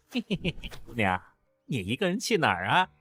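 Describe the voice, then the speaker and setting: A voice actor playing a sly, leering male street crook in a costume drama, husky and gloating. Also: mid-range voice, very animated, moderate pace, fairly bright tone, soft background music. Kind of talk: dubbed animated costume drama.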